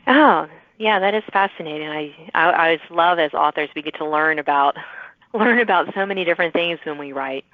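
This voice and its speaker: A woman's voice: sits low, brisk, no room sound. A woman hosting a casual, chatty podcast interview with authors in an enthusiastic, encouraging manner. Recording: thin phone-like sound.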